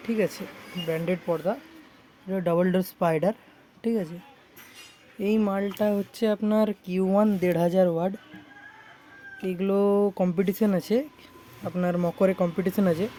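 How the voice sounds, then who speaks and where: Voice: medium pitch, warm tone. Repair tech chatting through a product demo, repeats stuff a lot, noisy background. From India. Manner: repetitive, frequent check-in tags. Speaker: speaker repair technician presenting own work. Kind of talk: informal product showcase vlog. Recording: clear background noise.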